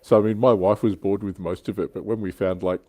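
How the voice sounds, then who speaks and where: A man with a fairly deep, mellow voice hosts a casual livestream chat. He talks informally, like someone swapping anecdotes and jokes with friends. The sound is dry, with very little room in it. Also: fairly even intonation, fairly quick, no room sound. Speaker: show host.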